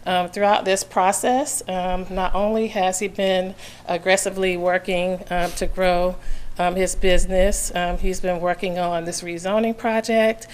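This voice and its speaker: A hoarse woman speaks at a podium microphone in a county meeting room. Her voice sits fairly low and stays flat. She talks earnestly, with frequent pauses and "um" fillers. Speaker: public commenter. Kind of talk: public comment at a county meeting.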